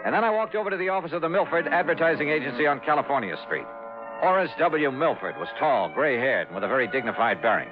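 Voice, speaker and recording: An actor playing a breezy, deadpan, skeptical insurance investigator in a 1950s radio drama. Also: male voice, fairly high pitch, quick pace, lo-fi sound, over music, close to an everyday voice. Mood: wry. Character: wry, businesslike hardboiled male freelance insurance investigator. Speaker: series lead and narrator.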